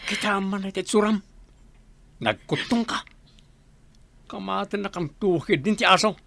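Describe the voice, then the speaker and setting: A man's voice: high-pitched, normal speed, vocal fry, a bit bright. An irritable, callous husband from a radio drama. He scolds curtly and scornfully. Faint noise and clicking sit behind the voice. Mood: scornful, angry.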